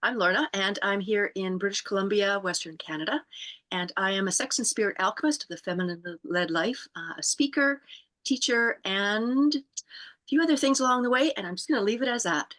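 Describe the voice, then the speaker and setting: A woman co-hosting an online circle who explains the format calmly and without hurry, with many ums. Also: medium pitch. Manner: calm, unhurried, many ums. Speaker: co-host. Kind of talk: guiding group instructions.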